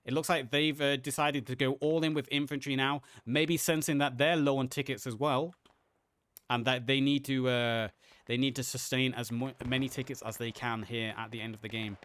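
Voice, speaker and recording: A man casting a live game match, play-by-play with fillers, a little hoarse as he is losing his voice. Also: medium pitch, normal speed, no room sound. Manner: excited play-by-play with frequent uh fillers. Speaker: esports caster. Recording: audible game gunfire and artillery behind. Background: game gunfire and artillery sounds.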